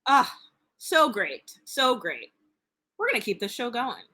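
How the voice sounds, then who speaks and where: Upbeat woman host, bright higher voice, chatty and easy to laugh. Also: big pitch swings, a little slow, husky. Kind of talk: casual live-stream comedy chat.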